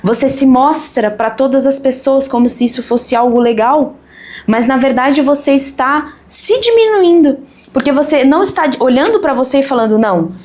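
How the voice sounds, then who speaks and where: Woman guest on a faith podcast; mellow, earnest and firm. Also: high voice, expressive, moderate pace.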